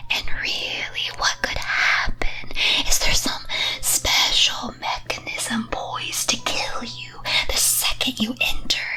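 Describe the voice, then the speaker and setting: Breathy whispering woman, slow and teasing. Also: a little high, bright, forceful tone, poor audio. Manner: teasing, theatrical, slow and deliberate. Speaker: streamer performing as a character. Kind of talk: scripted whispered ASMR monologue.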